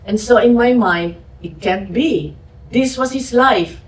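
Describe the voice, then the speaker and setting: An older woman from the Philippines with a Filipino accent, telling her story at a museum fireside chat in a halting way with frequent restarts. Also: on the high side. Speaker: podcast host and guest speaker. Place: museum event hall. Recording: echoey hall, distant mic.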